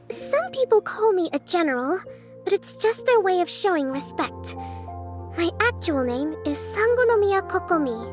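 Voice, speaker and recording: A young priestess from an anime game with a very high, girlish voice. She speaks with measured, kind earnestness, as one respected as a leader. Soft music sits behind her. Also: sing-song, exaggerated voice. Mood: modest. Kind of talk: anime video game voice acting. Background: faint game music.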